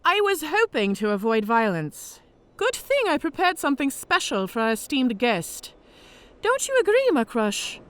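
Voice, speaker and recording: An actress playing a cunning, vengeful dragon mage disguised as a centurion, speaking in a theatrical, formally articulate way in a fantasy audio drama. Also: female voice, sits high, big pitch swings, normal speed, a bit bright, clear, resonant tone. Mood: smug, composed.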